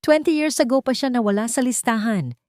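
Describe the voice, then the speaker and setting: A woman voicing a defensive, forceful male henchman in a dramatic audio story. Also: fairly high pitch, fairly quick, no room sound, clean studio sound, pitched above the performer's own voice, close to an everyday voice. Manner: urgent, defensive. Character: anxious, forceful male henchman of a crime boss.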